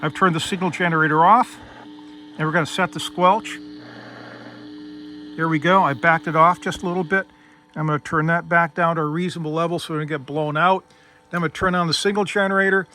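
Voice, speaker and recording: A man presents a casual hands-on demonstration as the host. His voice sits a little high and has a very bright, crisp edge. He explains each step methodically, in an informal way. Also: fairly even intonation, a little fast, pauses often. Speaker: host demonstrating vintage radio gear.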